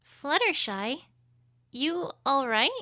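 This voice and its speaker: A woman's voice: high voice, expressive, slow, sharp tone, heavily acted. A woman voicing an earnest, bossy, studious unicorn mare with an insistent, worried manner, in a scripted story reading. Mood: concerned. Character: earnest, bossy studious female unicorn pony, anxious leader.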